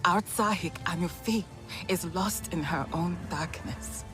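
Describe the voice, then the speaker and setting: An actress playing an earnest young clanswoman who quietly defies her leaders. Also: on the low side, measured pace, music in the background, close to an everyday voice. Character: earnest young female clan member, quietly rebellious.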